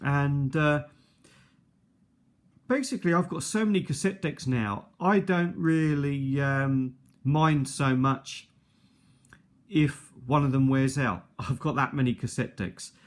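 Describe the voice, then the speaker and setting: A man's voice: medium pitch, takes it slowly. A middle-aged man giving an unscripted, casual show-and-tell in a hesitant, rambling, conversational way. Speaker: video host. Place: indoors at a kitchen table. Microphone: handheld or nearby tablet's built-in mic.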